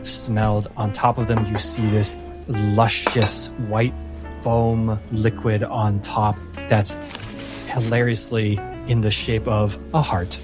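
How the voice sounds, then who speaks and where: A man's voice: on the low side, little pitch movement, slow pace. Male game master narrating over music. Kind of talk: descriptive tabletop game narration. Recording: music playing under the voice.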